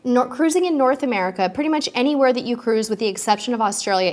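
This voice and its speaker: A woman hosting a live cruise-tips stream and answering viewer questions in a chatty, upbeat way, a little stuffy from allergies. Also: a little high. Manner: chatty and upbeat, with frequent ums.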